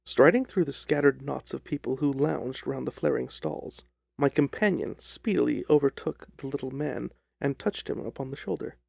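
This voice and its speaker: A man's voice: mid-range voice, normal speed, vocal fry, darkish tone, husky, dry recording. A man reading scripted classic fiction as an audiobook narrator.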